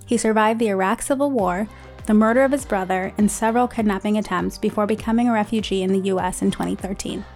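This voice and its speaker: A woman's voice: mid-range voice, steady tone, fast talker, darkish tone. Woman podcast host, faint music behind. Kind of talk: scripted intro and interviewing.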